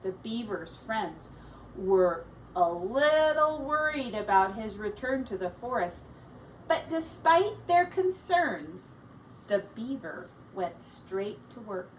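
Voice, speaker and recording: A woman teacher reading a children's picture book aloud expressively, pausing to explain words and comment on the pictures. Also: mid-range voice, very animated. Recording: distant mic with faint background noise.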